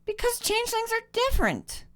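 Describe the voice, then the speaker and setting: A man's voice: very high voice, wildly swooping pitch, normal speed, a bit bright, pitched above the performer's own voice, heavily acted. A man voicing a sulky, defiant little girl pony-changeling hybrid in a storybook reading, with a whiny, challenging manner. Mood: flat, accusing.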